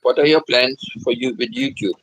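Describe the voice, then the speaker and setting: A man in his seventies hosting a live stream, chatting gently and repeating himself, humming between topics. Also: mid-range voice, moderate pace, no room sound, poor audio. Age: 70s. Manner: hums to himself between chats. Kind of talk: casual live-stream chat.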